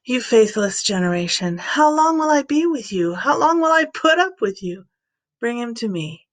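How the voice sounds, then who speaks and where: An authoritative male teacher and healer from scripture, read by a woman, in a fairly high voice. The words are delivered as firm, declarative instruction in a storybook reading. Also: big pitch swings, normal speed. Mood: stern.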